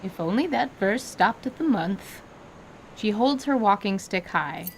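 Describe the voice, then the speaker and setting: A woman's voice: medium pitch, expressive, measured pace, a little hiss or hum. A woman reading scripted story narration as the narrator of an audio drama. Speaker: narrator and voice actor.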